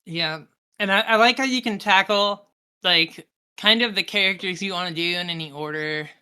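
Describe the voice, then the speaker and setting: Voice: medium pitch, normal speed, pushed and bright, clear, resonant tone. A podcast co-host chatting about games in an informal, easygoing conversational way. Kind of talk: casual chat about video games.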